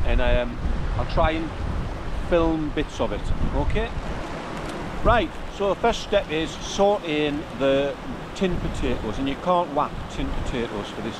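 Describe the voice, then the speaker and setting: A man with a North East English accent talks casually for an outdoor vlog, his voice a little high for a man. He is understated and wry, recorded on a handheld camera with clear wind and stream noise behind him. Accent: North East England. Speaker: camping vlogger. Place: open grassy hillside valley by stream. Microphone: handheld camera at arm's length. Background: wind and running water.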